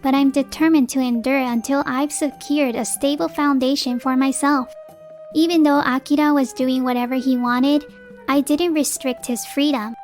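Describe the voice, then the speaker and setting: Woman acting a high-voiced, fed-up young wife. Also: fairly even intonation, moderate pace, darkish tone, faint music behind, lightly acted. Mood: exasperated narration. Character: fed-up young working wife and mother, determined, blunt. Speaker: narrator and lead character. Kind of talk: manga dub, narration and dialogue.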